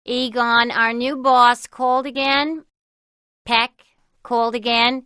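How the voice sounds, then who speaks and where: A wisecracking, world-weary woman at an office front desk in a video game. Her voice is high and piercingly bright, and she draws her words out slowly. The delivery is deadpan and sarcastic. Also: no room sound, clean studio sound. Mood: bored, businesslike. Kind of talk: scripted video game dialogue.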